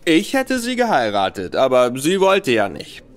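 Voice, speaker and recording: A man's voice: medium pitch, wildly swooping pitch, moderate pace. A bitter tipsy young nobleman. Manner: tipsy, wavering, spiteful. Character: Sebastian Conroy, young nobleman, bitter, drinking, boastful. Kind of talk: radio play acting.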